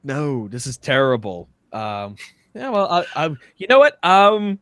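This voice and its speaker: A man's voice: a little high, wildly swooping pitch, measured pace, a bit bright, dry recording. A man co-hosting a podcast in casual comedic banter, speaking in a somewhat hesitant, stammering way. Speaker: podcast co-host.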